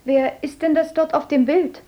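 An actress playing a clever young woman in a radio play who asks soft, polite but probing questions. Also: sits high, steady tone, moderate pace, lightly acted. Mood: quiet, curious. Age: young adult. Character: clever, shy young woman, quietly skeptical. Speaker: miller's adopted daughter.

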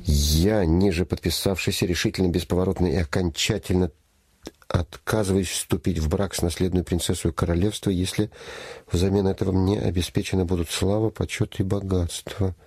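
An actor playing a trusting, kindly young scholar, gentle and a little hesitant, in a theatrical fairy-tale play. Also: male voice, deep voice, steady tone, normal speed, slightly rough, dry recording. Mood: doubtful.

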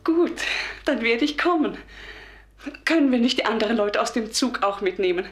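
An actress playing a meek, devout, gravely ill wife in a radio drama, solemn and fervent, halting and at times dropping towards a whisper. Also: female voice, a little high, animated, faint music behind, close to an everyday voice. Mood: awed, fervent.

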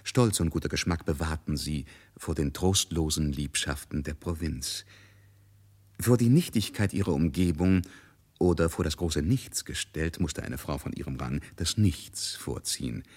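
A man narrating a literary radio drama with wry, measured, ironic commentary. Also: rumbling low, a little mellow, breathy edge, heavily acted. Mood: measured, knowing.

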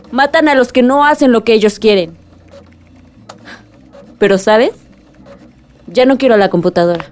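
A woman's voice: fairly high pitch, a little mellow, lightly acted. An actress with a Mexican accent playing a grieving, defiant young village woman in a radio drama. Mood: accusing, pained.

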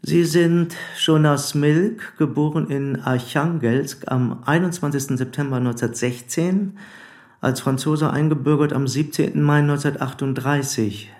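An actor playing a probing, formal police commissioner in a German radio play, speaking deliberately and insistently. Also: male voice, a little high, fairly even intonation, darkish tone, lightly acted.

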